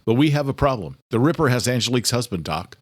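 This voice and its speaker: A man's voice: medium pitch, lively intonation, fast, dry recording, studio quality, pitched above the performer's own voice, lightly acted. A man voicing a protective, resolute brother in a thriller audiobook, giving terse, firm orders. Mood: tense. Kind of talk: horror thriller audiobook character.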